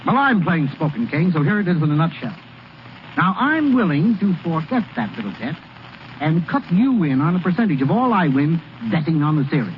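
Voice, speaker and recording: An actor playing a slick, menacing gambler in 1930s radio style, plain-spoken and insinuating. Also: male voice, fairly high pitch, very animated, brisk. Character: slick, threatening male gambler bribing a ballplayer. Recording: muffled, hissy 1930s radio recording.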